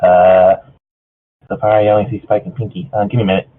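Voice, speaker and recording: A man's voice: deep voice, flat delivery, fast talker. A man reading fan fiction casually with a group, stumbling over the odd word. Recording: thin phone-like voice chat.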